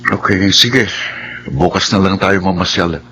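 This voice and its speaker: A man playing a calm, agreeable husband and family man in a Filipino radio drama. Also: low voice, a little slow, very noisy. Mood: calm concern.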